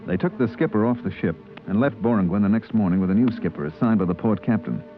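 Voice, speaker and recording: An actor playing an easygoing spaceship purser in a 1950s radio drama, with a colloquial manner. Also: male voice, lowish voice, animated, fast, close to an everyday voice. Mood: reflective narrating. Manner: colloquial, hesitant in dialogue. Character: easygoing spaceship purser recounting his voyages. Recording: tinny vintage radio broadcast, music behind.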